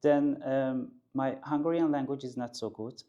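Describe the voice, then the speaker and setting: A man hosting an interview, asking questions politely and in halting phrases. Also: mid-range voice, fairly even intonation, dark tone, dull audio. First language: Persian. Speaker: host. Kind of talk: casual interview.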